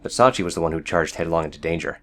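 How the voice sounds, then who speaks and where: A man's voice: deep voice, very fast, a bit bright, a little hiss or hum. A man reading scripted fiction as the narrator of an audiobook.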